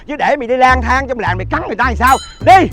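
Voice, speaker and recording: A man playing a gruff, bossy middle-aged village uncle who barks orders in a comedy skit. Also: sits high, lively intonation, moderate pace. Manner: barking orders, blustering.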